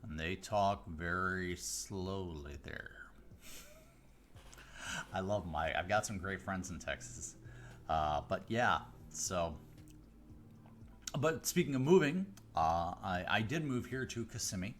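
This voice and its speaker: A man from the Chicago area, a mentalist hosting a live stream, chatting casually with viewers on a close studio mic, rambling with fillers and restarts but slowing down with deliberate pauses. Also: deep voice, lively intonation, a little hiss or hum. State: voice deeper than usual today. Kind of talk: casual chat answering viewer questions. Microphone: close studio microphone.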